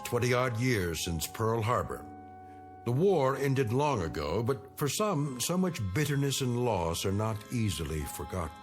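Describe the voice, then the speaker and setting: An American man delivers portentous scripted narration for a drama anthology. His mid-pitched voice rises and falls widely, measured and theatrical, over music.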